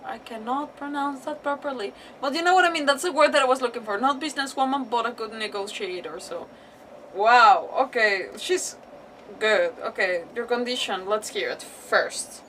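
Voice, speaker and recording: A woman casually commentating on a live game playthrough, thinking aloud in an informal, expressive way. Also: a little high, moderate pace, slight background noise. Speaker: game streamer. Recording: distant-sounding mic with game music behind. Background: game music.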